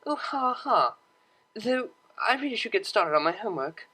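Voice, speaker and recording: A woman's voice: medium pitch, very animated, normal speed, bright, forceful tone, breathy edge, voice pushed up, exaggerated voice. A woman voicing a refined, polite young mare cellist in a pony story, awkward and earnest, with prim formal wording. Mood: prim, reluctant.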